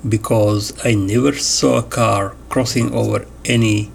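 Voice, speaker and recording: A man reading an interview transcript aloud in a measured way, announcing each question and answer. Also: lowish voice, fairly even intonation, gravelly, a little hiss or hum. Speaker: voice-over reader of an interview transcript.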